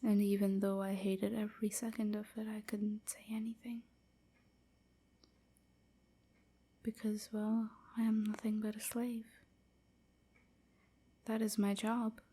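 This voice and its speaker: A woman playing a gentle, wounded vampire slave in an intimate ASMR roleplay, whispering in a breathy, halting, submissive way. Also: medium pitch, completely flat delivery, close to an everyday voice. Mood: sad, resigned. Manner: slow, halting, hushed confiding.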